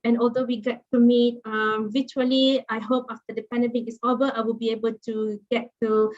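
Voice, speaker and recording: A woman's voice: on the high side, level tone, fairly quick, a little mellow. A woman in her thirties with a Malaysian accent, giving a chatty online guest lecture with many fillers and restarts. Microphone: video call. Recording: muffled video call audio.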